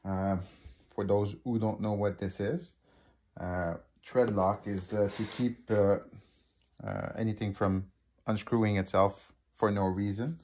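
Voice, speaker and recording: A man's voice: lowish voice, steady tone, a little slow, a little mellow. A man with a Québécois French accent, a native French speaker, casually talking through an unboxing with many fillers and restarts, filmed on a phone over a living-room table. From Quebec. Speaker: hobby unboxing vlogger.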